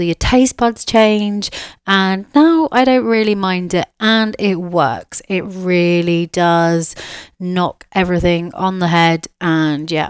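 A woman chatting through her solo podcast, lowish in pitch, with a smooth, clear voice even though she's a bit bunged up from a cold. She talks easily and casually, dropping in an "um" or "yeah," recorded close and dry. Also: dry recording. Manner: chatty, easygoing, with fillers like "um" and "yeah". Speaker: podcast host, spiritual mentor and psychic medium.

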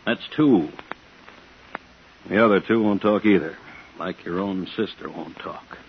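An actor playing a tired, evasive police chief in a 1950s radio thriller, halting and guarded. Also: male voice, sits low. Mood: grim. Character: weary, evasive police chief of a frightened town. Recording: dull, faintly noisy 1950s radio broadcast.

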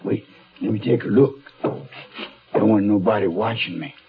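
A man's voice: fairly low pitch, unhurried, natural voice. An actor playing an older American tattoo parlor man, folksy and wily, with slangy drawling patter in a radio drama. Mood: wary, gruff. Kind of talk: 1970s radio drama acting. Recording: dull, band-limited 1970s radio recording.

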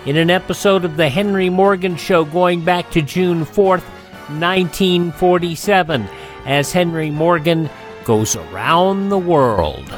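A man's voice: fairly high pitch, expressive, unhurried. Male radio host introducing over faint music. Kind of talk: scripted introduction.

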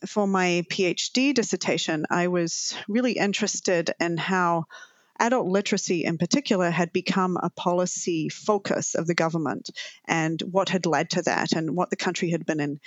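A woman's voice: on the low side, moderate pace, no echo, dull audio. A woman with a New Zealand accent, a professor answering interview questions thoughtfully with frequent restarts. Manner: thoughtful, many restarts and fillers.

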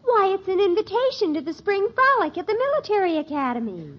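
Very high-voiced, gushing teenage girl. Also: sing-song, a little fast. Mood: gushing, pleading. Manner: gushing, melodramatic. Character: dramatic, dreamy teenage daughter, girlish and gushing. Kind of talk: 1950s radio sitcom acting. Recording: muffled old 1950s radio recording, faint hiss.

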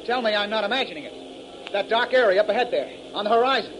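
Under clear recording noise, a young American airman with a very high male voice. He is earnest, eager and uneasy, in the style of a 1940s radio serial. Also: animated, quick pace, fairly bright tone, low-quality recording. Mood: alert, tense. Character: earnest, anxious young male aircrew member.

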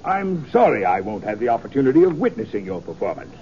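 An actor playing a polished, genial gentleman showman in a radio western, speaking formally and grandly. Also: medium pitch, big pitch swings, fast talker, close to an everyday voice. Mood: genial, courtly. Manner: formal, florid, orotund. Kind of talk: 1950s radio western acting. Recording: dull, faintly noisy 1950s radio recording.

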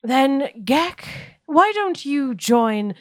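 A woman's voice: high voice, very animated, very slow, dry recording, voice pushed up, exaggerated voice. A woman playing a genteel, fussy, cautious madam adventurer with formal, prim phrasing in a tabletop game.

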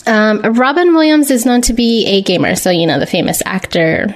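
A woman's voice: medium pitch, lively intonation, moderate pace. A woman hosting a show, reading out news and announcements in an informal, chatty style. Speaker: podcast host. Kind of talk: casual live show news chat.

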